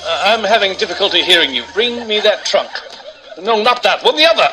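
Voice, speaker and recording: Grand, animated big-band leader. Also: male voice, sits high, a little fast, soft background music, low-quality recording. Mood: hurried, bossy. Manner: grandiose, formal wording. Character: suave, busy male big-band leader, courteous and grand.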